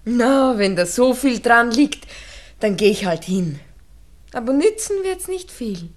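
A worldly young Viennese woman, with a high, swooping voice. She is pert, sceptical and offhand, very much the pragmatic friend in a 1960s radio play. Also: very animated, unhurried. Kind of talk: 1960s radio drama acting.

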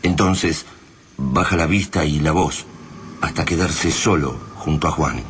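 An actor with an Argentine accent narrating a grim invasion saga as a scripted radio drama in a solemn way. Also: male voice, extremely low pitch, a little slow, fairly bright tone, slight background noise.

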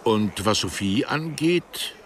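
Eccentric insect scientist, low voice, pedantic musing, very varied intonation, radio drama, faint noise. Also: male voice, animated, slow. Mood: coolly musing. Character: eccentric, precise male insect biologist, coolly philosophical. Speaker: actor. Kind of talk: German radio play dialogue.

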